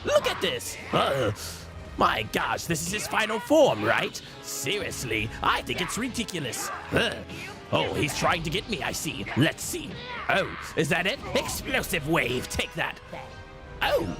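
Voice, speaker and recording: A man's voice: on the high side, wildly swooping pitch. A man voicing a mocking, gloating alien parasite villain, taunting theatrically as he comments on a fighting game. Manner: taunting, theatrical, drawn-out, cackling. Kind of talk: in-character live game commentary and trash talk. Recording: game music loud behind the voice.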